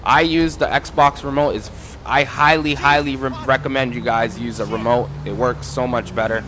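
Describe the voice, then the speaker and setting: A man's voice: mid-range voice, steady tone, moderate pace, noisy background. A man casually walking viewers through game mods in an informal, instructive way, addressing them as guys. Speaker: gaming tutorial creator.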